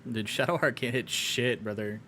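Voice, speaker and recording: A man's voice: mid-range voice, pushed and bright, slight background noise. Swearing, laid-back young male game master. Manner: profane, joking, quick to laugh. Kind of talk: casual tabletop game running.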